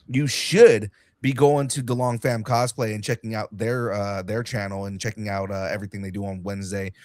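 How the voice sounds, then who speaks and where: A young man hosting a casual podcast, excitable and swearing freely, with a light cold and a slight cough. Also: on the low side, fairly quick, dry recording, studio quality. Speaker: podcast host.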